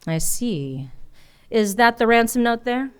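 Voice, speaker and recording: A woman's voice: on the high side, animated, unhurried, clean tone. An actress playing a bitter, world-weary woman detective in a noir radio drama, with a cynical, brooding delivery. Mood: cool, guarded.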